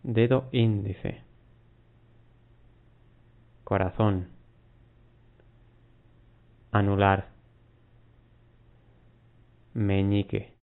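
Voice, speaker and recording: A man guiding a mindfulness body scan, giving unhurried instructions in short phrases with long pauses. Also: fairly low pitch, fairly even intonation. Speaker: meditation guide. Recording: muffled, phone-like narrow band.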